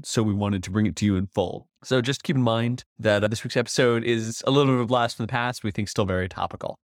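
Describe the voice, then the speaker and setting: A man co-hosting a talk podcast in casual conversation, animated and stumbling over restarts. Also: fairly low pitch, no room sound, studio quality. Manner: animated, stammering restarts, laughs while telling anecdotes. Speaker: podcast co-host.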